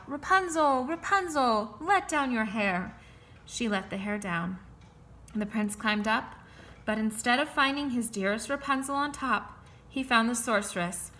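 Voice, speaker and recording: A woman reading a fairy tale aloud in a clear, expressive, measured way. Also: a little high. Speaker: storyteller.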